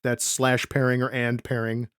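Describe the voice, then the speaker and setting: A man's voice: mid-range voice, monotone, moderate pace, a bit bright, clear, resonant tone, dry recording, clean studio sound. A man hosting a casual podcast chat, introducing guests with playful jokes. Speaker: podcast host.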